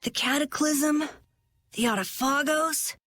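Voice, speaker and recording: Earnest, high-voiced young boy. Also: a little slow, a bit bright, soft background music. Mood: anxious, questioning. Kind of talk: anime-style video game dialogue.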